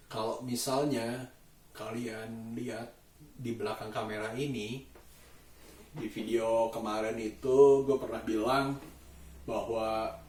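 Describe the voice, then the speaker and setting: A man chatting casually to a vlog camera, halting and thoughtful as he searches for words. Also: mid-range voice, a little mellow, a little hiss or hum. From Indonesia. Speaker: vlogger. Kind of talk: casual daily vlog monologue. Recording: roomy echo, distant mic.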